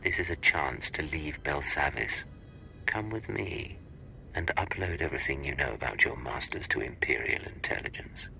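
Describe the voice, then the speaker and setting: A man voicing a composed, commanding imperial agent in a video game, measured and businesslike. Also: rumbling low, creaky, bright, forceful tone, soft background music, full character voice, low-quality recording. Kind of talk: video game cutscene dialogue.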